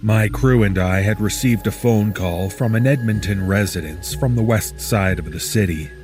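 A man's voice: fairly low pitch, normal speed, darkish tone. A man delivering scripted horror narration for a storytelling podcast. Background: music.

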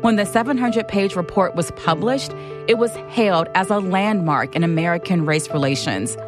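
An actress playing a hesitant, deferential researcher who explains findings in a halting way in an audio drama. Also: female voice, fairly low pitch, music in the background, natural voice. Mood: explanatory.

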